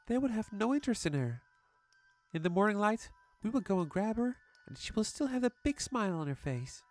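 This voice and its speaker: A woman playing a gentle, reassuring mother soothing her young daughter in an earnest way, in a horror story reading. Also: mid-range voice, very animated, brisk, faint music behind, close to an everyday voice.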